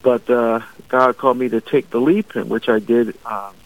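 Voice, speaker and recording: A man's voice: medium pitch, steady tone, normal speed, no echo. A man in his fifties, a deacon from Barbados, talking about his faith and calling in a radio interview over the phone, restarting phrases as he goes. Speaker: deacon guest. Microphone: phone line. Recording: muffled phone-line interview audio.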